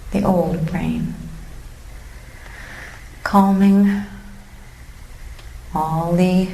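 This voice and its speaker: A woman leading a guided meditation in a calm, gently instructive way with long pauses, recorded live in a room. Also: on the low side. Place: room with a seated live audience. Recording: roomy live recording with faint noise.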